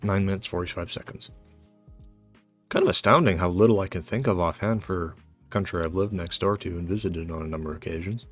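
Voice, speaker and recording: Low-voiced man thinking aloud, halting. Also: fairly bright tone, soft background music. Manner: halting, muttering to himself. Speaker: host of a geography guessing challenge video. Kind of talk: casual thinking aloud during game commentary.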